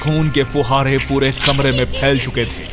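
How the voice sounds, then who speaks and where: A man's voice: mid-range voice, little pitch movement, normal speed. A man narrating a scripted horror audio story in a dramatic storyteller's manner. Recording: music under the voice. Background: background music.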